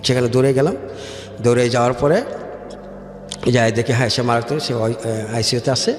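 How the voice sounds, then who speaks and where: Halting Bangladeshi man in heavy echo. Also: medium pitch, fairly even intonation. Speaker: guest telling his life story. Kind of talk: personal storytelling in a radio interview. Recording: echoey hall-like reverb with music. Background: music.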